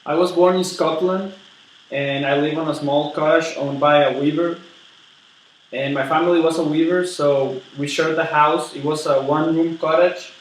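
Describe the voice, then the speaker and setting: A man playing an eager, polite steel tycoon in a role-played interview, earnest and halting as he repeats words. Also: fairly high pitch, fairly even intonation, recorded from a distance, natural voice. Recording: very echoey room with background noise.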